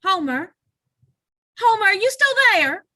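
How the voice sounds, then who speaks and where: An actress playing an anxious, gullible, grieving widow in an old-time radio drama, pleading and emotional. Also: female voice, extremely high pitch, sing-song, unhurried, bright, forceful tone, no echo, clean studio sound, full character voice.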